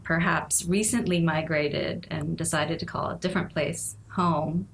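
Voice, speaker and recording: Interview guest: woman in her 40s from Nepal, gravelly and reflective, with faint noise in the recording. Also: sits low, steady tone, unhurried, poor audio. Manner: thoughtful, laughs often, fillers like "you know". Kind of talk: casual interview conversation.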